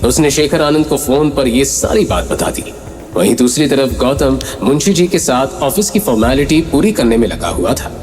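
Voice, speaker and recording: Male, dramatic audio-series narrator, mid-pitched voice, long reverb, music clearly behind. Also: darkish tone. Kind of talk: dramatic scripted story narration. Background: clear background music.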